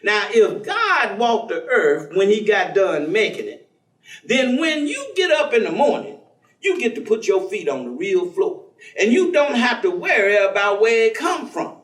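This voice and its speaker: An actor playing a warm, devout, folksy man, teasing and preaching in a conversational staged reading. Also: high voice, sing-song, unhurried, exaggerated voice. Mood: preaching, earnest. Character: warm, devout, folksy man, witty self-styled outlaw believer. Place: theatre stage. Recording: echoey stage recording from far off.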